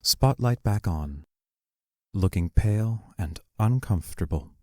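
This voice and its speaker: A man reading the stage directions of an audio play in a measured, matter-of-fact way. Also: on the low side, animated, dark tone, no room sound, clean studio sound. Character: impersonal stage-direction narrator. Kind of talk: scripted narration.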